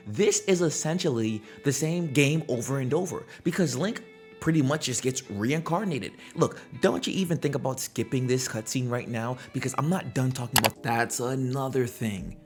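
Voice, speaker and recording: A man hosting a gaming commentary video, talking in a conversational, slangy way and throwing out rhetorical questions. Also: mid-range voice. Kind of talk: casual opinionated video commentary.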